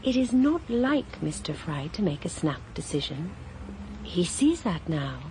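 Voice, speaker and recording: A woman narrating a radio drama in a measured, even storytelling manner. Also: on the low side, a little hiss or hum.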